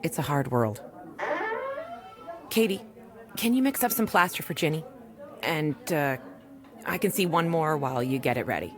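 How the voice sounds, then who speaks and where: An actress playing a weary, dry, no-nonsense rural doctor in an audio drama. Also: female voice, low voice, normal speed, long pauses, a crowd in the background. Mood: dry, firm, then brisk. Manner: dry, deadpan. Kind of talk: audio drama acting with recorded diary narration.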